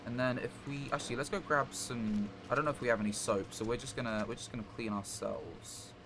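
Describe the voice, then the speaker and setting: A man streaming a game live, giving casual commentary in a halting, rambling way as he thinks aloud. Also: mid-range voice, fairly even intonation. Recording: noticeable background noise.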